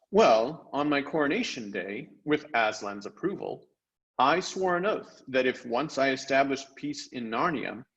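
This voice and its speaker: Man playing an animated, confident young king. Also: medium pitch, dull audio. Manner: animated, declamatory. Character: young king, confident, eager, noble storybook seafarer.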